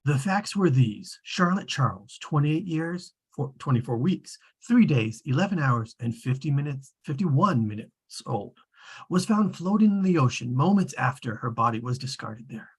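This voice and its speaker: A man reads as a droll storybook narrator, all-knowing and precise. His husky, mid-pitched voice delivers the scripted narration in a measured way. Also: lively intonation. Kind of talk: scripted narration at a table read.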